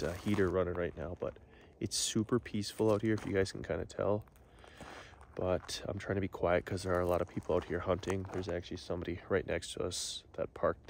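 A man's voice: low-pitched, creaky, slight background noise. A young man filming himself on a handheld camera, chatting casually about camping in a van and a forest. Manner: relaxed, rambling with many "like" fillers. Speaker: camping vlogger. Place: inside a parked van at night, then outdoors in a forest campsite. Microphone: phone or action camera held at arm's length.

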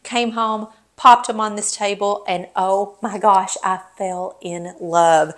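A woman's voice: medium pitch, a bit bright. Upbeat woman gushing over her home. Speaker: home vlogger giving a room tour. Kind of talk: enthusiastic home tour narration.

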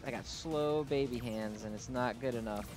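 Music plays clearly behind a man talking in a medium-pitched voice. He gives casual gameplay commentary as the host of a gaming video. His delivery is informal and slangy, with a self-deprecating streak. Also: moderate pace.

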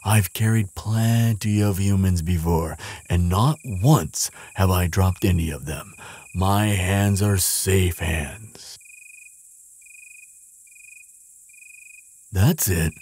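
Man playing a deep, husky gentle giant. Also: full character voice. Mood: reassuring, gentle. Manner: soothing, doting, unhurried. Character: huge gentle male giant, tender and caring. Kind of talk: audio roleplay acting, softly spoken to the listener.

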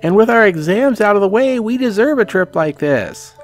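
A man voicing a bright, eager teenage schoolgirl in an anime visual novel, perky and enthusiastic. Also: high-pitched, lively intonation, moderate pace, faint music behind, voice pushed up. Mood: cheerful, eager.

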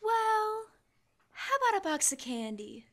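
A woman's voice: extremely high pitch, big pitch swings, measured pace, very noisy, heavily acted. A young woman playing a polite, helpful shop clerk in an old-time radio comedy. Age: teens to young adult. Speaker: student actor. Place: school library, indoors, live stage reading.

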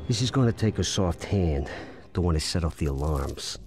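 An actor playing a cocky, greedy burglar in a video game, speaking smugly. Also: male voice, deep voice, lively intonation, moderate pace, darkish tone. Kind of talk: video game cutscene acting.

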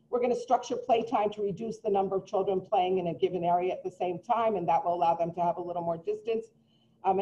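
A woman's voice: mid-range voice, dark tone. A middle-aged woman, a preschool director, earnestly reassuring parents at a town hall with frequent ums over a laptop microphone. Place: home room on a video call. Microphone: laptop mic on a video call. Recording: dull lo-fi video call audio.

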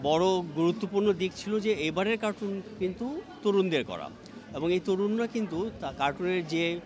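Middle-aged Bangladeshi man, slightly high voice. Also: animated, moderate pace, faint music behind. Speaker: interviewee, exhibition organizer. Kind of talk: explanatory interview. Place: busy indoor gallery. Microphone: handheld reporter microphone. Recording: busy crowd noise around voice.

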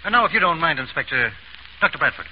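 Formal, hurried old-radio gentleman. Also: fairly high pitch, big pitch swings. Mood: stiff. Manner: formal, polite. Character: stiff, impatient gentleman among the suspects. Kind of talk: 1930s radio drama acting. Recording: noisy, muffled vintage radio broadcast.

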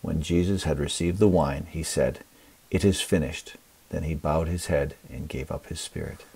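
A man's voice: very deep voice, moderate pace, vocal fry, darkish tone, slightly rough, recorded from a distance. A man giving a solemn reading of scripture at a church service.

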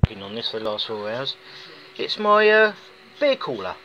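A man's voice: medium pitch, sing-song, moderate pace, sharp tone. British man, casual hobbyist vlogger, chatty and rambling; phone-recorded, muffled, noisy. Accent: British English. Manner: chatty and rambling, with folksy asides. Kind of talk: casual DIY demonstration. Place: indoors, garage or workshop floor. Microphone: handheld phone filming. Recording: dull lo-fi phone audio with clear background noise.

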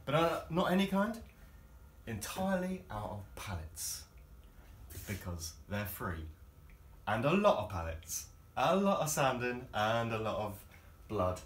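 A man's voice: mid-range voice, very animated, a little slow. A man giving a chatty, wry, informal guided tour in a hesitant way. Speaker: boat owner and builder giving a tour. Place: large cluttered covered deck space inside a boat. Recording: echoey boat interior with background noise.

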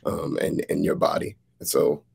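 Deep, creaky-voiced man, deliberate and sincere. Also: very animated, a little mellow. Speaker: playwright, interviewer. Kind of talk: casual, reflective conversation. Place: indoor room with dark backdrop, video call. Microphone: video call, seated back from camera.

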